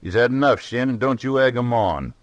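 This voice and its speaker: An actor playing a gruff, stubborn barkeep with dry, folksy retorts in an old Western radio drama. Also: male voice, medium pitch, animated, a little fast, no echo, highs cut off, lightly acted. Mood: gruff warning. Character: gruff, stubborn, mean-tempered barkeep, dryly unafraid.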